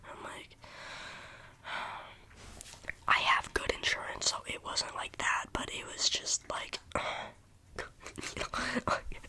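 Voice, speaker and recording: A young adult telling a rambling, casual story in a soft ASMR whisper, with a slight lingering cough. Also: slow, pauses often. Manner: rambling, casual, full of "like" fillers.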